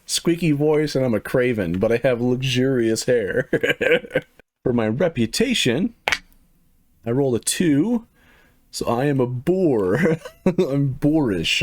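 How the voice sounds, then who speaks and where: A middle-aged man casually walking through a tabletop game, rambling with lots of fillers and chuckling to himself. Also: medium pitch. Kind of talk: casual first-impressions walkthrough.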